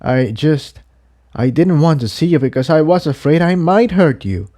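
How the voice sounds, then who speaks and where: A man's voice: fairly high pitch, animated, moderate pace, mellow, voice pushed up, close to an everyday voice. A man voicing an earnest, cautious male pony in a story reading, explaining himself hesitantly. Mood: troubled, explaining.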